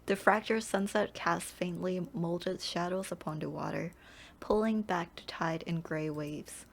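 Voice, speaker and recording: Woman acting an eerie, slow, solemn female narrator. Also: lowish voice, steady tone, vocal fry. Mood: ominous, solemn. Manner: slow, solemn, hushed, drifting into whispers. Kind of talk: dramatic game story dub. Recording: music behind the voice. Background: music.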